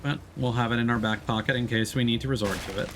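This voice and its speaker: A man's voice: medium pitch, fairly even intonation, fast, slight background noise. A man giving casual let's play commentary as a streamer, explaining and thinking aloud as he plays. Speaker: streamer playing a video game.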